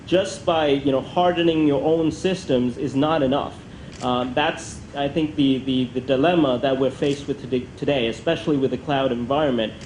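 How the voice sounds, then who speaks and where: A man's voice: mid-range voice, fairly even intonation, moderate pace, a little mellow. A man moderating a conference panel in a hesitant, rambling way, often repeating words. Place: multi-purpose function room at a conference. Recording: echoey function room, faint background noise.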